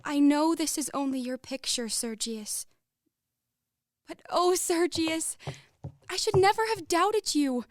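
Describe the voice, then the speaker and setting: Proud young romantic heiress female actor, high voice, dry close sound, lofty theatrical delivery for radio drama. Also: slow pace, pauses often, dry recording. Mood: rapturous. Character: romantic, proud young lady of a wealthy house. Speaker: actor in a student radio play. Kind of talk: radio play acting.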